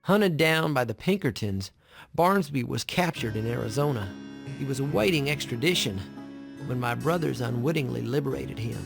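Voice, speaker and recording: An actor playing the earnest young brother of outlaws in a Western game. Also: male voice, medium pitch, animated, normal speed, gravelly. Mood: solemn. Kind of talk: dramatic Western game acting and narration. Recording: music behind the voice.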